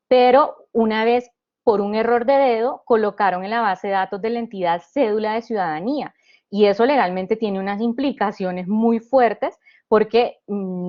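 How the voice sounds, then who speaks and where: A woman from Honduras giving an explanatory presentation as a panelist. She talks through each point step by step, with restarts and "eh" pauses. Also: medium pitch, highs cut off. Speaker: panelist presenting a government digital service.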